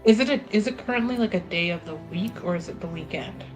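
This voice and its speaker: A woman playing a tabletop game, playful and excitable in casual chat. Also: medium pitch, quick pace, faint music behind, low-quality recording. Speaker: player.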